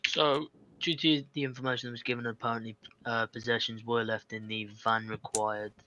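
A man's voice: medium pitch, flat delivery, brisk, pushed and bright, dull audio, a little hiss or hum. A man speaking as a lawyer in improvised online roleplay dialogue. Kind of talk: improvised in-character game dialogue.

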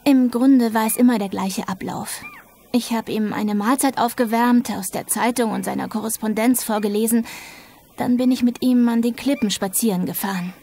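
Actress as an uneasy, higher-voiced female carer. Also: normal speed, warm tone, a little hiss or hum, lightly acted. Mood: defensive, earnest. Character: uneasy, defensive female carer of an old man. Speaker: actor in an audio drama. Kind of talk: German crime audio drama acting.

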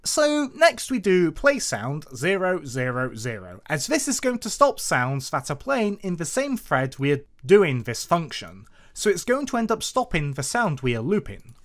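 Lively, animated tutorial host. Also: mid-range voice, unhurried, few pauses. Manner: instructional, step-by-step. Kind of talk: scripted programming tutorial narration. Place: voice-over to a screen recording.

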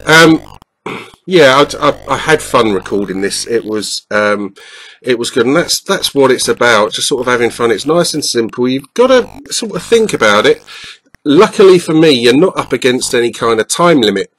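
A man's voice: mid-range voice, lively intonation, normal speed, fairly bright tone, slight background noise, low-quality recording. A middle-aged man with a stuffed-up nose, casually commenting over a retro game with lots of ums and uhs. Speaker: retro gaming YouTuber.

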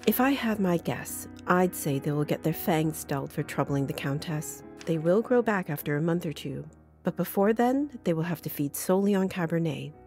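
A worldly vampire gentlewoman in a video game, composed and protective. Her voice is low and soft-edged, and she confides in measured, matter-of-fact phrases over music. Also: lively intonation. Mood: calm, confiding. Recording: music behind the voice.

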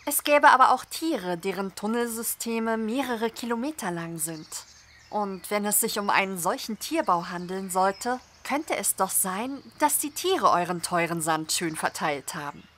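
A woman's voice: medium pitch, lively intonation, measured pace, a bit bright. A woman playing a friendly podcast guest in a German audio drama, chatty and explanatory. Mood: cheerful, explaining.

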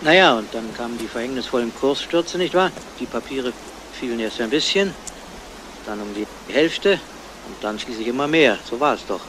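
An actor playing a composed police detective who probes in a knowing, unhurried way in a crime radio play. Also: male voice, mid-range voice, expressive, natural voice. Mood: probing, knowing. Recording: noisy old radio play recording.

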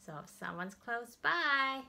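Middle aged american lady doing storytime for little kids, really bright voice, takes it slow and expressive. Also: a little high, vocal fry, a little hiss or hum. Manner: expressive, deliberate delivery for young children. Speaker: children's librarian hosting storytime. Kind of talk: children's storytime read-aloud and instruction. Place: indoors, plain-walled room.

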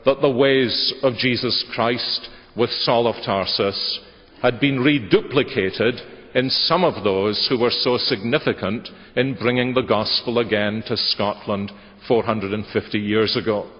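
Older male preacher, unhurried and deliberate, recorded dull in a big reverberant sanctuary. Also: medium pitch. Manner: measured, gesturing preaching cadence. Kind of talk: sermon. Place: large church sanctuary. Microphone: lectern microphone. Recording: dull church webcast audio with long reverb.

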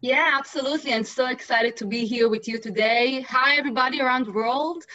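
Israeli woman panelist in her thirties, bright upbeat voice, dull webcam audio. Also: sits high, a little fast. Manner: upbeat and chatty. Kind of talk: video call panel introduction. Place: home living room. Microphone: video call webcam. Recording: dull dry webcam sound.

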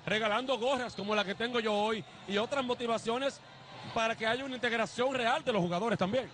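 Loud, high-voiced Dominican male TV reporter. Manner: loud, energetic, drawn-out emphasis. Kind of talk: live on-location TV interview. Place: outdoor neighborhood basketball court. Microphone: handheld. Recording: noisy crowd outdoors.

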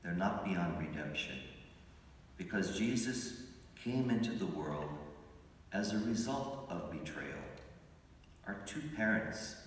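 A deep, low-voiced older priest preaches a homily in a slow, measured and reflective way. His voice rings through a large church with a long reverb, picked up from far back in the pews. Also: animated, slight background noise. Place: large church sanctuary, at a pulpit. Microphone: pulpit mic, recorded by a phone from the pews.

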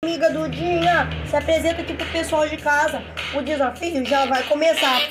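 A woman's voice: sits high, steady tone, normal speed, over music, lightly acted. A girl voicing a talkative doll with a cold. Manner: talkative, addresses viewers. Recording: noisy home recording.

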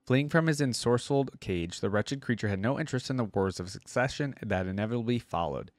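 A young man reading lore aloud and commenting casually, with expressive reading and conversational asides, close to a desk microphone. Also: mid-range voice, a little fast, dry recording. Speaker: reaction video host. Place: indoor streaming setup. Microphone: close desk microphone on a boom arm.

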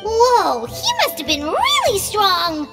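A tiny childlike fairy for a video game. She is chirpy and curious, with an extremely high, very animated squeak, set in long reverb over clear music. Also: takes it slowly, full character voice. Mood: excited. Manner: squeaky, exclamatory, wide-eyed. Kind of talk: scripted video game dialogue.

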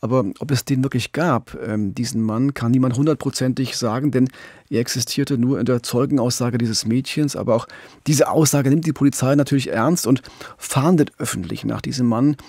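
Man, middle-aged, raspy voice, very dry close sound, factual podcast reporter, measured delivery. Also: mid-range voice, darkish tone, no room sound. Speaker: true-crime podcast co-host and crime reporter. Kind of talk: journalistic podcast storytelling in dialogue.

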